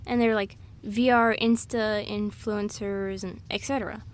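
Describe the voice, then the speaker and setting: A woman's voice: medium pitch, fairly even intonation, measured pace. A woman in a casual, chatty, scatterbrained monologue, rambling through trivia with an amused manner. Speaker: audio roleplay performer playing a rambling girlfriend.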